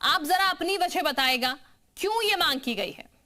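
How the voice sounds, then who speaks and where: A woman's voice: very high-pitched, expressive, normal speed, sharp tone. A woman television news anchor moderating a live debate and putting questions to guests.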